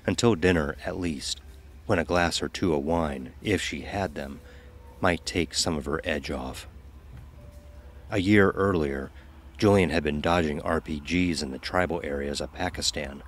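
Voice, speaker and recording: A man narrating a scripted dark audio story. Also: rumbling low, a little slow, slight background noise.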